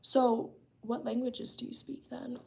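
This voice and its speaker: A woman's voice: fairly high pitch, flat delivery, unhurried, creaky, warm tone. A woman in her early twenties, chatting casually with her viewers from home, her talk loose and peppered with "um" and "like.". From United States. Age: early 20s. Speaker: vlogger chatting with her live chat. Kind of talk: casual chat while working. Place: her home, working remotely.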